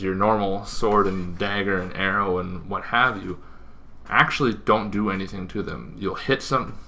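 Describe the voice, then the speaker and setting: A man's voice: fairly low pitch, bright, forceful tone. A man hosting an informal explainer, talking in a rambling way with asides and self-corrections. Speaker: host of a tabletop gaming show.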